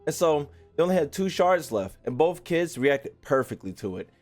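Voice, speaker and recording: A man's voice: on the high side, sing-song, moderate pace, a little mellow, faint music behind. A man giving casual, slangy livestream commentary as he reacts to a game. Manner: slangy, conversational.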